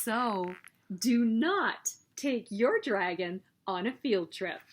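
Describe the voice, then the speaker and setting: Lively, high-voiced woman reading to children. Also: slow. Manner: expressive, emphatic storytelling with sing-song refrains. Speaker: children's story reader and host. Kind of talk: read-aloud picture book narration for children.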